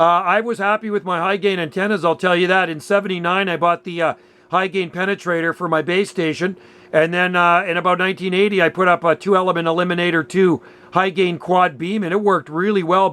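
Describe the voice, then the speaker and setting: Mature male radio hobbyist, fairly high pitch, enthusiastic folksy chat over two-way radio. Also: pushed and bright. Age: middle-aged or older. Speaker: radio operator.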